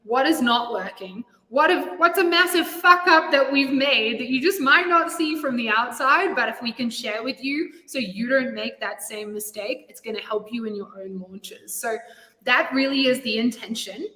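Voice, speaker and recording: A woman hosts a live stream in an upbeat, lively way, laughing easily as she talks to viewers. Her voice sits a little high, and it rings out with a long, echoing reverb, as if she were in a bare room. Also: moderate pace. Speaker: host and CEO. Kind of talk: casual live-stream hosting.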